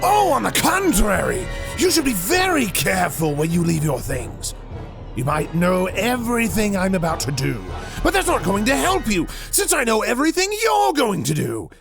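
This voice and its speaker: Wildly swooping gloating mad scientist. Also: male voice, high voice, huge pitch swings, normal speed, gravelly, music in the background, full character voice. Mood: smug. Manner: theatrical, smug. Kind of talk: fan audio drama acting.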